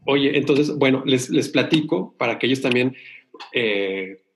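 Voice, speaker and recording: A man's voice: mid-range voice, a little slow. A man from Sonora, Mexico, co-hosting a casual chat, talking in a rambling way with many restarts. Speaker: podcast co-host.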